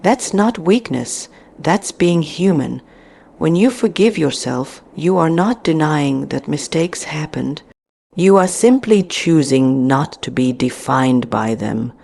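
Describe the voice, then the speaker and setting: A woman narrating a scripted guided meditation in a deliberate, soothing manner with frequent pauses. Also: deep voice, lively intonation, vocal fry, dark tone.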